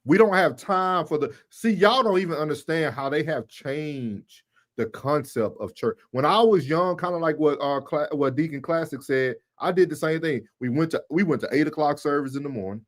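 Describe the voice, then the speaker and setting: A man co-hosting a livestream, giving casual, animated commentary and addressing his viewers directly. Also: a little high, moderate pace. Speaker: livestream co-host. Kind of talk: casual talk commentary on church.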